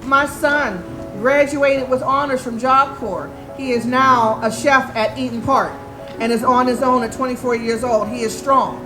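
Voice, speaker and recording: A woman's voice: high-pitched, fairly even intonation, unhurried, music in the background. A woman in her forties telling her own story of hardship as an earnest, preaching testimony at an open mic. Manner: earnest, preaching in third person. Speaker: storyslam speaker. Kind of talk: personal testimony. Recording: echoey, far from the mic.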